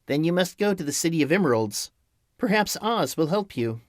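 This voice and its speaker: A man voicing a kind little old witch in an audiobook, speaking gently and motherly. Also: on the high side, very animated, fairly quick, no room sound, studio quality, pitched above the performer's own voice. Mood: gentle. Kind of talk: audiobook character voice.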